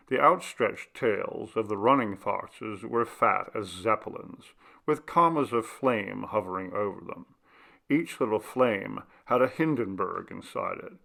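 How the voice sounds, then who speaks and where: A man reading a literary short story aloud as narration, with a gravelly voice. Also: lowish voice, a bit bright. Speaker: audiobook reader.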